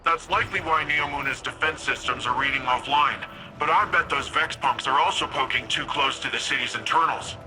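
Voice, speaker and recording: A man's voice: mid-range voice, a little fast, bright, forceful tone, a little hiss or hum, low-quality recording. A man playing an earnest, wry, scrappy young city defender in a game, talking informally. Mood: focused. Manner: informal, chatty. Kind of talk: conversational game character acting.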